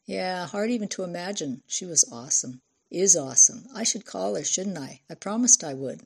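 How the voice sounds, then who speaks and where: A woman's voice: fairly low pitch, expressive, normal speed, creaky, husky, heavily acted. A woman voicing an anxious, affectionate mother of a teenager in an audiobook, earnest and coaxing. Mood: gentle, coaxing.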